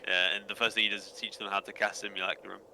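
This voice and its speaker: Fast-talking man with a very bright voice. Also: lowish voice, little pitch movement, gravelly, slight background noise, rough recording. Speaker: tabletop game player. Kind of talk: casual chat.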